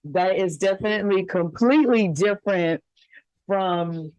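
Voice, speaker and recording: A woman's voice: medium pitch, measured pace, darkish tone, no room sound, lo-fi sound. A woman hosting a TV show, asking friendly, upbeat interview questions with some fillers. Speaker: TV show host.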